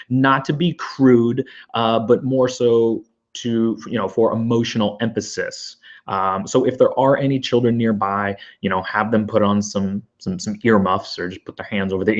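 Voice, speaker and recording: A man hosting his own podcast, energetic and rambling with asides, his voice still hoarse as it recovers. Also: lowish voice, muffled recording. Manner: enthusiastic, rambling with fillers and asides. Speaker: podcast host. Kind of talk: energetic solo podcast monologue.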